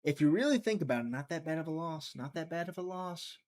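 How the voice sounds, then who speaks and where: A man hosting a live prediction stream, chatting casually, thinking out loud and talking to his viewers, sometimes trailing off into a mutter. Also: fairly high pitch, expressive, quick pace. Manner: chatty, thinks aloud, talks to chat.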